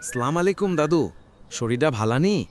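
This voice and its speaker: A man's voice: a little high, expressive, brisk, slight background noise, close to an everyday voice. An actor playing a respectful, chatty village man in a cartoon, with a rural Bangladeshi accent. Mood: warm, respectful. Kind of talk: cartoon drama dialogue.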